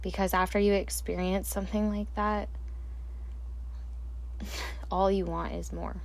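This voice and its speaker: A woman hosting a solo podcast alone at night, talking slowly and calmly into a microphone in a soft, soothing voice with quiet laughs. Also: medium pitch, gravelly, poor audio. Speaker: podcast host. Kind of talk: solo reflective podcast monologue. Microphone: handheld or desk microphone, alone at night.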